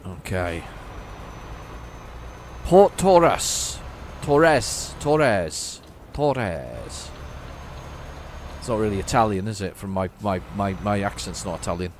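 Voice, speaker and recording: A man's voice: fairly low pitch, lively intonation, takes it slowly, pauses often, a little hiss or hum. A man giving casual, rambling gameplay commentary, often correcting himself. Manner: rambling, self-correcting, chatty. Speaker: gaming channel host.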